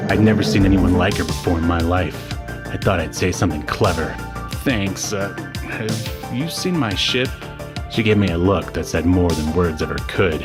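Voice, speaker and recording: A man's voice: deep voice, natural voice. A man playing a swaggering space adventurer, narrating a scripted comic-book promo in dramatic style. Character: swaggering male sci-fi adventurer recounting dinosaur encounters.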